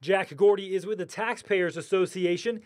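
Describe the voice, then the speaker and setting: A man's voice: high voice, normal speed, a little mellow. A man narrating a scripted television news report.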